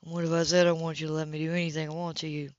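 Possessive male romance hero voiced by a woman, direct, seductive, lowered pitch, dull sound. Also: flat delivery, very fast, dry recording, lightly acted. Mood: seductive, intense. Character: hot-tempered, possessive male lover in a romance novel. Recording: dull lo-fi home recording.

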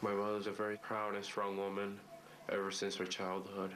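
A teenage male high school student confessing in a subdued, regretful way. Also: monotone, normal speed, fairly bright tone, a little hiss or hum. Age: teens. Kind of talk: reflective interview confession. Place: school room with whiteboard.

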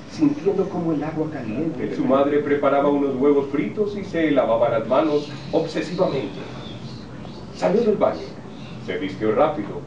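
Echoey, lively male story narrator. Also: mid-range voice. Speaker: radio drama narrator. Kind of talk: scripted story narration, read aloud. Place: TV studio rehearsal set, indoors. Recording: echoey distant camcorder sound, muffled, noisy.

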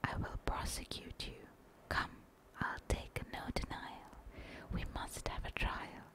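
A woman's voice: takes it slowly, a bit bright. A woman voicing a cunning, domineering old dog from a storybook rhyme in a whisper. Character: cunning, menacing old dog acting as judge.

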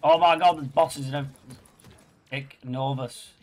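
A man's voice: medium pitch, normal speed. A man, chatting and laughing while streaming a shooter game. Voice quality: raspy. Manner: excitable, laughing, swearing. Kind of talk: casual gaming banter.

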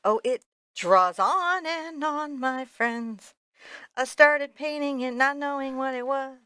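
A woman with a bright, forward voice and a dry, close sound hosts a painting lesson. She chats casually and playfully, poking fun at herself while she explains what she is doing. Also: high voice, takes it slowly, dry recording. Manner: playful, chatty, self-deprecating. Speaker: painting teacher, show host. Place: indoor art studio.

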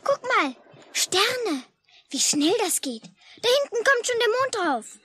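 An actress playing a chatty, blunt and curious young child in a 1950s German radio drama. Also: female voice, very high-pitched, sing-song, takes it slowly, a bit bright, exaggerated voice. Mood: dreamy, chatty. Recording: noisy old mono radio recording.

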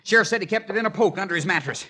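An actor playing a scheming young outlaw who gives curt orders in a 1950s radio drama. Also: male voice, sits high, animated, racing. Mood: shouting. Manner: bossy, curt. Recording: noisy old radio broadcast.

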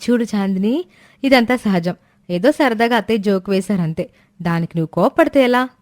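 A woman voicing a gentle, patient elder daughter-in-law who calms others down. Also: mid-range voice, moderate pace, close to an everyday voice. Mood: soothing. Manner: soothing, reasoning.

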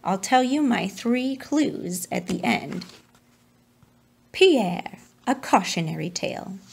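A woman's voice: medium pitch, expressive, takes it slowly, gravelly, a little hiss or hum. A woman reading a children's storybook aloud as its narrator. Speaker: storybook reader.

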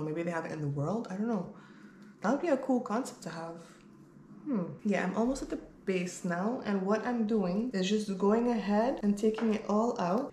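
Lower-pitched woman vlogger in an echoey room. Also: darkish tone. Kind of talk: casual chat to camera. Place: bare-walled room at home, indoors. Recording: roomy echo, clear background noise.

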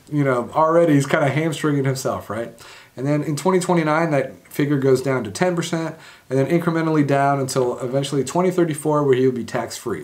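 Young male sports blogger, casual home-studio commentary, mid-range voice, full of ums and restarts. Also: medium pitch. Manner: conversational, many fillers and restarts, wry laughs. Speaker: sports blog host. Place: home room indoors.

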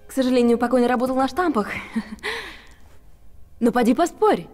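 A woman playing a self-assured, arch stage actress in her thirties with a theatrical, expressive way of talking. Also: fairly high pitch, moderate pace, long reverb tail, soft background music, lightly acted. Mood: animated, persuasive.

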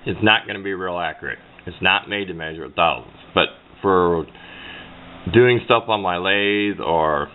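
A middle-aged man giving a casual hands-on explanation in a rambling, plain-spoken way, correcting himself as he goes. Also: a little slow. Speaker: hobbyist demonstrating a homemade radio antenna build. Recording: low-fi muffled sound with clear background noise.

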